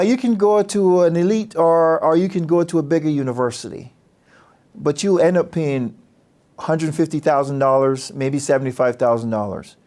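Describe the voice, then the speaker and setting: A man from Barbados, a business school dean speaking about his program in a measured, earnest, persuasive way. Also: a little high, warm tone. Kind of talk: promotional interview talk.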